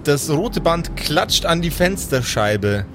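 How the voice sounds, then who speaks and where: A man's voice: a little high, sing-song, slow pace. A man narrating an improvised tabletop game as game master, theatrical and teasing between bits of casual banter. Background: background music.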